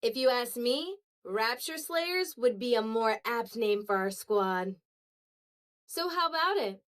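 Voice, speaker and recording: A woman playing a calm, reassuring female android squad veteran in a video game dub, composed and gently coaxing with a playful streak. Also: on the high side, takes it slowly. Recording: music behind the voice.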